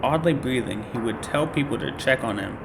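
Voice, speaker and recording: A man hosting a reaction video, casually commenting and reading captions aloud in a halting, thinking-aloud way. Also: mid-range voice, animated, vocal fry, background noise.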